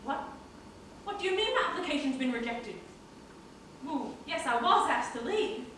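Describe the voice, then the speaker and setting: Recorded from afar in a very echoey hall, this is an irreverent priest in an amateur stage comedy, acted by a woman. The voice is high and swoops widely, indignant and theatrical. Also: sing-song, moderate pace, bright, forceful tone, full character voice. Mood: dry, wry. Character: irreverent, indignant priest with a failed law degree. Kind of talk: amateur stage play acting. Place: college theatre stage, picked up from a distance. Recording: very echoey stage hall, distant mic, faint noise.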